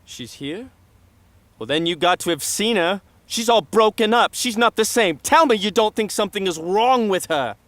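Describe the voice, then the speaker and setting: A man's voice: high voice, lively intonation, moderate pace, a bit bright, no echo. A man acting a hot-headed young man spoiling for a fight in a radio drama, cursing and threatening. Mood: angry, threatening.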